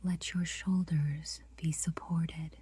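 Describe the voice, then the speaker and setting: Woman doing guided meditation, super breathy whisper, slow and gentle, really dry close sound. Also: sits low, steady tone, no echo. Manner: unhurried, gentle, long pauses.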